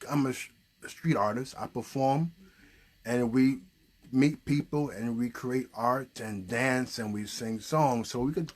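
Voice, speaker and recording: A man hosting an improvised children's storytelling puppet show, casually thinking aloud in a halting, questioning way. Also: medium pitch.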